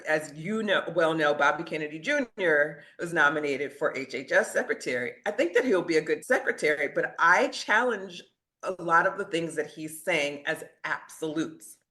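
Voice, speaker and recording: A woman's voice: low-pitched, lively intonation, normal speed, bright, forceful tone. A woman talking as a podcast guest, conversational, stressing and rephrasing her points. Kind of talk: conversational discussion.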